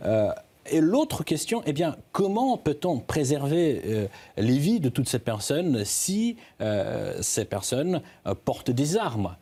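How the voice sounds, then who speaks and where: Man, news analyst, dark mellow timbre, medium pitch, hesitant, halting live commentary. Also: very animated, husky. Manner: halting, hesitant delivery with repeated word starts.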